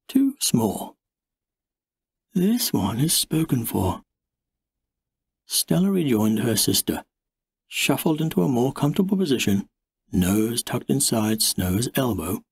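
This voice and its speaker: Expressive man narrating, lively rise and fall. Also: medium pitch, creaky, husky, no echo. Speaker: audiobook narrator. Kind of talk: expressive first-person storybook narration.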